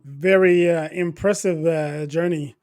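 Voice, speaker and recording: Male interview host, notably high-pitched voice, halting delivery with repeated words. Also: steady tone, darkish tone. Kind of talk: interview with a guest.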